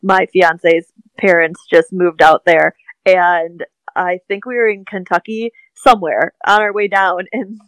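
A woman in her thirties with a Midwestern Wisconsin accent talks through a casual podcast interview, pitched a little low. She laughs often and leans on "um" fillers. The recording is close and dry, with no room sound. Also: fairly even intonation, a little slow, clean tone, no echo, poor audio. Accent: Midwestern American (Wisconsin). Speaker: podcast guest, small business owner.

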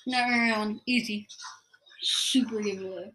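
A woman's voice: medium pitch, steady tone, normal speed, sharp tone, poor audio. A woman doing casual reaction commentary as a video creator, guessing aloud and blurting dismissive remarks to herself and viewers.

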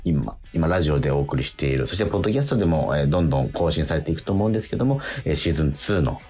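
A man hosting a casual podcast-style radio talk, halting and often correcting himself as he explains things. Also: a bass voice, expressive, a little mellow, faint music behind. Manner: halting, self-correcting, trailing repetitions. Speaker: radio show host and actor. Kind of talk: casual radio-podcast chat introducing a show.

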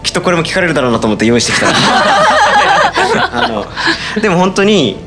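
A man's voice: on the high side, big pitch swings, quick pace, loud music behind the voice. A man guest, the drama's writer, talking modestly and laughing easily. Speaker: scriptwriter guest. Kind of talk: casual radio chat.

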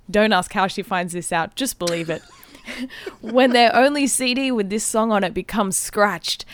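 A woman's voice: mid-range voice, clear, resonant tone, no echo. Upbeat young woman, close dry sound. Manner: enthusiastic, giggly. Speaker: podcast co-host. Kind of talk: casual comedic podcast banter.

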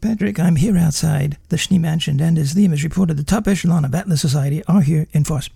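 A man voicing a serious male field reporter who describes a tense meeting in a hushed, careful way. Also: fairly high pitch, fairly quick, gravelly, husky, dry recording, clean studio sound, full character voice. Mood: grave. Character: measured, serious male reporter outside a mansion.

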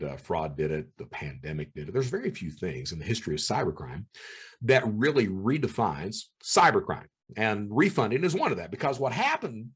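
A man telling crime stories in a casual monologue, acting out the people he quotes in a high voice. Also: breathy edge. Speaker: former cybercriminal host. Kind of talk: storytelling monologue.

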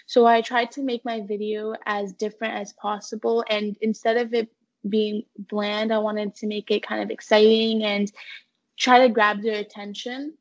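A woman's voice: medium pitch, flat delivery, moderate pace, highs cut off. A young woman, earnestly answering questions in a conversational interview. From United States-born, living in Canada. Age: teens to young adult. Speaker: interview guest, student intern.

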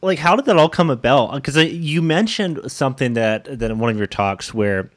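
A woman's voice: very deep voice, expressive, normal speed, no room sound. A woman interviewing casually as a podcast host, hesitant in forming questions and often giving short acknowledgements.

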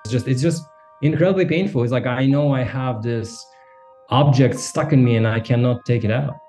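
A man from Kazakhstan, a podcast co-host, reflecting candidly in conversation. He talks haltingly, restarting often and adding "right?". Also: mid-range voice, mellow, soft background music.

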